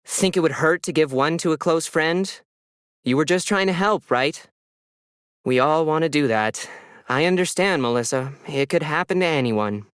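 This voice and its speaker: Male narrator playing female investigator, persuasive interrogator in audio fiction, voice pitched up, dry close recording. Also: normal speed, a bit bright, clean tone, no echo, natural voice. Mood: coaxing. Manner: leading, persuasive questioning. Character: probing, coaxing female police inspector.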